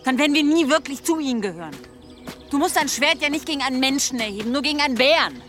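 A passionate, insistent wife in a radio play. Her voice moves widely in pitch with strong emotion, over music. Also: expressive, fast talker, fairly bright tone. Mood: pleading. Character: determined, passionate outsider wife in an old village. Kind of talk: German radio play acting.

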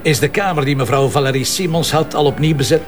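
A man's voice: fairly high pitch, quick pace, over music, lightly acted. A man with a Flemish accent playing a stubborn, wry police commissioner in a detective audio drama, speaking informally and musingly. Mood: businesslike, insistent. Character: dogged male police commissioner, stubborn, hunch-driven, wry.

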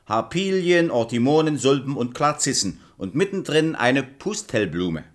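Clownish fairy-tale hero: cheerful, playful, very animated melody, for a children's radio play. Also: male voice, medium pitch, measured pace. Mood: proud, cheerful. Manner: playful, theatrical.

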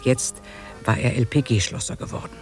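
A man narrating a radio drama in a measured, literary storytelling manner. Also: medium pitch, fairly even intonation, faint music behind.

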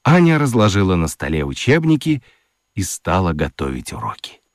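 A man narrating a children's radio story in an expressive, theatrical, confiding way with playful gravity and dramatic pauses. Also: sits low, no room sound, clean studio sound. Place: studio. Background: music.

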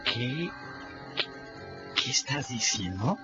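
Lively runaway boy storyteller. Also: medium pitch, extremely slow. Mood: astonished. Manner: colloquial, animated, exclamatory. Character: cheeky runaway adolescent boy narrating his river adventures. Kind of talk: radio drama storytelling in first person. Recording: muffled radio production with music behind.

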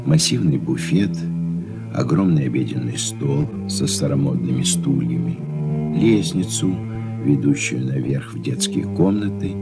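Very deep male narrator over loud music. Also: gravelly. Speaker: narrator reading stage directions. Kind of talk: scripted dramatic narration for a radio play.

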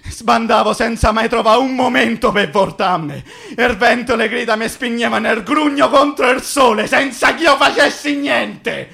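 The ghost of a Roman labourer killed on site, in a fairly high male voice. He rambles in thick street dialect, bitter and tender at once. Phrases return like an incantation, in the manner of a theatrical radio play. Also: fairly bright tone. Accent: Roman Italian. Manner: rambling, colloquial, incantatory repetition. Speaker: stage actor.

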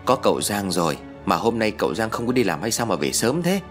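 A man's voice: fairly low pitch, sing-song, brisk, soft background music. A man voicing a gossipy, practical older village woman, chatty, insistent and a little defensive, in an audio story. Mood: urging.

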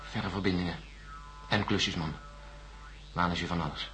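An actor playing a distrustful, haunted astronaut in a radio drama, answering curtly and defensively. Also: male voice, deep voice, fairly even intonation, moderate pace, bright, forceful tone, faint music behind, low-quality recording. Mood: curt, guarded. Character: bitter, distrustful male astronaut under psychiatric questioning. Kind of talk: science-fiction radio drama acting.

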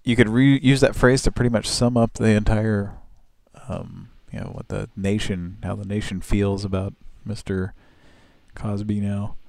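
A man hosting a live show in casual chat, with a gravelly voice and a rambling, laugh-prone way of talking. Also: moderate pace, dry recording. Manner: rambling, laughs often, animated swings in pitch.

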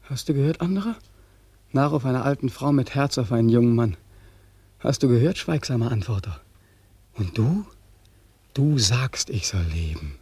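An actor in a German radio drama playing a bitter, despairing young returned soldier, accusing and sarcastic, with impassioned, biting questions. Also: male voice, medium pitch, lively intonation, moderate pace, pauses often, mellow, breathy edge. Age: young adult.

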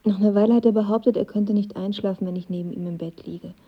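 Actress as vulnerable young woman, radio drama, breathy, hushed, confiding, slightly muffled sound. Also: mid-range voice, steady tone, fast talker, natural voice. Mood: hurt, confiding. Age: young adult. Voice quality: breathy, husky. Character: guarded, vulnerable young woman, the narrator's first love.

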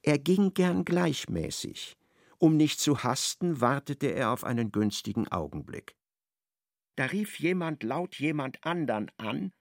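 A man narrating a radio play in a measured, ironic storytelling manner. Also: medium pitch, animated, creaky, dry recording, studio quality.

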